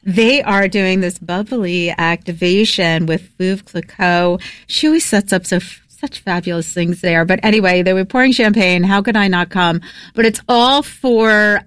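A woman co-hosting a radio food show, chatting in a bubbly, excitable way from the studio. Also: mid-range voice, normal speed, clear, resonant tone, dry recording. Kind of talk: casual radio chat.

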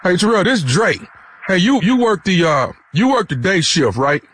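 A man's voice: high voice, big pitch swings, moderate pace, a bit bright, a little hiss or hum. A man playing a pushy, aggrieved night-shift worker on a prank phone call, stammering and repeating himself confrontationally. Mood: hesitant.

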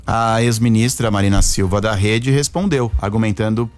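Man, news narration, fairly low voice, dry close sound. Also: normal speed, no room sound. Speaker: news reporter.

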